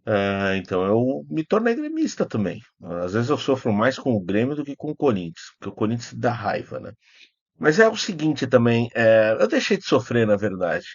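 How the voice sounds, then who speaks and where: A man's voice: mid-range voice, big pitch swings, normal speed, husky, no room sound, dull audio. A Brazilian man talking casually and unscripted as a video host, in a rambling, hesitant way, often correcting himself. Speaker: YouTube host, film critic. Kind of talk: casual unscripted vlog talk, answering comments.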